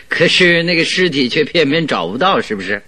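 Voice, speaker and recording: A man's voice: on the high side, sing-song, unhurried, bright, forceful tone, full character voice. A man playing a shrewd, amused master detective in a radio drama, probing and teasing as he talks. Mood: teasing, confident. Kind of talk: Chinese radio drama acting.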